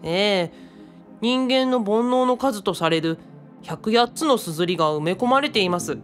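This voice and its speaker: Young female assistant for radio drama woman acting: courteous, modest, level-headed, with lively melodic delivery. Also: medium pitch, normal speed, soft background music, natural voice. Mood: explaining, wry. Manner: courteous, animated.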